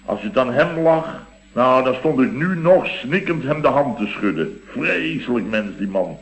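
Blustering contractor, swooping intonation. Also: male voice, mid-range voice, lively intonation, a little slow, dull audio. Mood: exasperated. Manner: rambling, exclamatory, with repeated tag words. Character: blustering, sentimental middle-aged male building contractor. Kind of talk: Dutch radio comedy acting.

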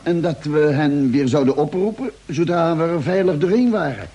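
An actor playing an earnest, suspicious spaceship doctor in an old-style radio play. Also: male voice, fairly high pitch, lively intonation, normal speed, mellow, husky, dull audio. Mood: dismayed. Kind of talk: Dutch radio play acting, mid-century.

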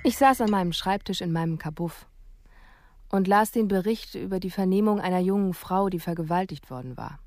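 An actress playing a sober, wry police investigator, narrating a radio drama in a reflective, understated way. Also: female voice, fairly low pitch, fairly quick, darkish tone, close to an everyday voice.

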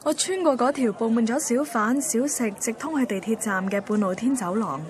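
A woman's voice: fairly high pitch, fairly quick, a little hiss or hum, natural voice. An actress playing a sentimental, timid young woman photographer, narrating a radio drama introspectively in Hong Kong Cantonese. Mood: wistful. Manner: introspective, colloquial storytelling.

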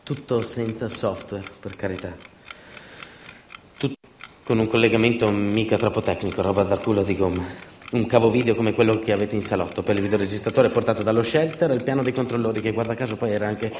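Guy doing a dramatic theater monologue, lowish voice, lots of repeats and pauses, echoey room. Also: steady tone, a little mellow, a little hiss or hum. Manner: emphatic, dramatic, with deliberate repetitions and pauses. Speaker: stage actor and storyteller.

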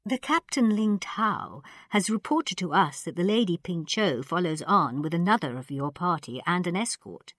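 A woman voicing a little old male emperor in a storybook, thin and high-pitched, querulous yet regal. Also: expressive, moderate pace, few pauses, fairly bright tone, no echo, clean studio sound. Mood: gracious, regal. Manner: imperious, querulous, yelping with pain.

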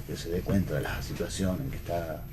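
A man's voice: deep voice, fairly even intonation, fairly quick. A man in an unscripted radio discussion, tentative and repetitive, often checking with a short tag question. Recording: faint background noise.

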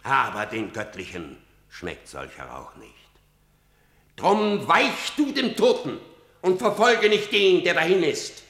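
A man's voice: a little high, big pitch swings, takes it slowly, a bit bright, roomy sound, heavily acted. An actor playing an old blind male seer, speaking in grave, cryptic verse. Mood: warning. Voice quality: gravelly, solemn declamation. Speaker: actor in radio drama. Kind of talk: stage verse drama.

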